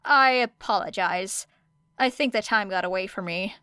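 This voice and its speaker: A woman's voice: mid-range voice, moderate pace, sharp tone, smooth, no echo, natural voice. A woman voicing a guarded teenage girl, a hero student who is apologetic and casually understated, in an audiobook story. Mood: matter-of-fact.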